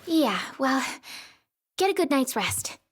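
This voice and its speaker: Upbeat teen girl from a video game, high lively voice, kinda teasing. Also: slow pace, full character voice. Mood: gently concerned. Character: cheerful teenage girl, friendly and teasing. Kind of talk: video game cutscene acting.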